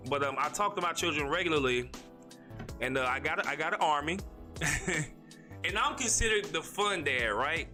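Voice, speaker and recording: A man from North Carolina, hosting a casual solo podcast in a rambling, slangy way, talking fast with a stutter. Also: a little high, expressive, pushed and bright, faint music behind. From North Carolina, USA. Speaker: podcast host.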